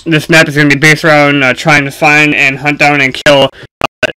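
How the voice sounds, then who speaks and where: A man giving casual, unscripted gaming commentary for a video, speaking haltingly with frequent ums and pauses. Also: on the high side, level tone, bright, forceful tone, clear, resonant tone, rough recording.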